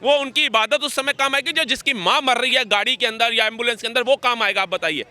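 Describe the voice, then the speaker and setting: A man with a very high, piercingly bright voice makes his case in a street interview. He is combative and emphatic, pressing his points with one rhetorical question after another. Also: fast. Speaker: interviewee giving political opinions. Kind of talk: impassioned street interview argument.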